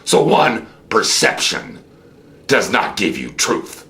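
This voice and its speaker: An American man giving an unscripted political monologue, theatrical in manner, with rhetorical questions and drawn-out emphasis. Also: sits low, sing-song, creaky, pushed and bright. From United States. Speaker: host of a political commentary show.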